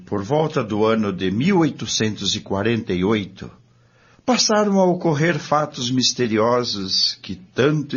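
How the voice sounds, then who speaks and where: A man acting as a Brazilian radio narrator recounts spiritist history to companions. His voice is husky and moves up and down expressively. The recording is a bit dull and lo-fi. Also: medium pitch. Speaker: radio drama narrator and discussant. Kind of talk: scripted radio drama narration in dialogue form. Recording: dull, lo-fi audio.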